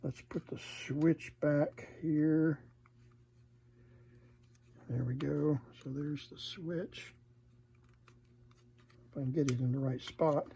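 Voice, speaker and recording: Male hobbyist presenter, slow casual delivery while working with his hands, audible noise in the room. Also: on the high side, steady tone, mellow. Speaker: vintage radio repair hobbyist, presenter. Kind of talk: casual hands-on repair demonstration. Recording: clear background noise, room ambience.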